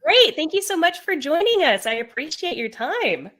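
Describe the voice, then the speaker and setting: A woman's voice: high voice, big pitch swings, moderate pace, fairly bright tone. Upbeat woman podcast host. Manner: upbeat, enthusiastic interviewer. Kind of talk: conversational podcast interview.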